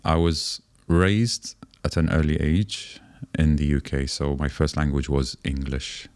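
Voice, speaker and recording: A man in his forties with an extremely low voice gives measured answers as a podcast guest. He talks in short, listed phrases with small pauses between them. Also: level tone, a little mellow. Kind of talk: conversational interview answers.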